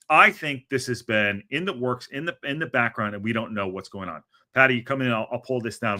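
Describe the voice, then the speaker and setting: A man hosting a football podcast, talking in an animated, rambling way with a lot of restarts. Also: fairly low pitch. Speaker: podcast host. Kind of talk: casual chat.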